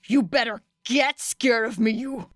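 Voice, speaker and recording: A woman's voice: a little high, fairly even intonation, a little slow, no echo, clean studio sound, voice pushed up. Woman as a snarling hot-headed teenage boy. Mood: snarling rage. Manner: snarling, forceful, threatening. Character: furious, foul-mouthed, hot-headed teenage boy bully.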